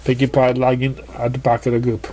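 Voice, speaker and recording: A man narrating a fan fiction story as an amateur reader, with halting, stumbling delivery. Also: medium pitch, monotone, warm tone.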